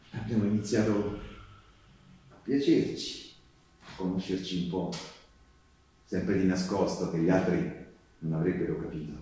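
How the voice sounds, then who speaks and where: A man performing a theatrical monologue as a wistful Resistance partisan recalling the war, confiding and halting, with drawn-out phrases and repetitions. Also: sits low, mellow. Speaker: stage actor performing a monologue. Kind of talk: theatrical narrative monologue, intimate and colloquial. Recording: very echoey, music playing behind the voice. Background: live guitar music.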